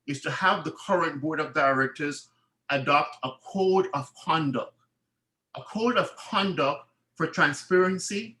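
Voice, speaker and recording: Man with a bright voice, earnest and formal, often restarts, echoey room. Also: a little high. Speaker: board candidate. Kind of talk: candidate speech at a meeting.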